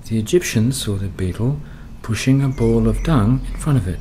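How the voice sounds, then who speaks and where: An Australian man narrating a radio play in a brooding, measured way. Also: mid-range voice, warm tone, slight background noise.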